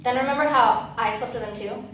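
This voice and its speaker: Brash young woman, played by a stage actress, spiteful and emphatic, fairly high voice, distant in a very echoey room with faint noise. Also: a little fast, a bit bright, close to an everyday voice. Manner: casual, profane, emphatic. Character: brash, spiteful young woman one-upping her frenemy. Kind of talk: staged reading of a comic play. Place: live reading in a tavern.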